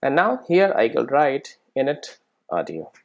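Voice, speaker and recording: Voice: mid-range voice, sing-song, measured pace, muffled recording. A programming instructor giving a casual step-by-step screen-recorded tutorial, often checking in with "right?" and "okay".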